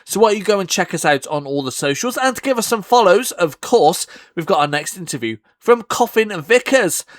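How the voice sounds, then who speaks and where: A man's voice: high voice, expressive, a little fast, no echo, clean studio sound. A male host closing one interview and introducing the next in an upbeat, promotional way. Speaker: podcast host. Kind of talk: scripted link and outro.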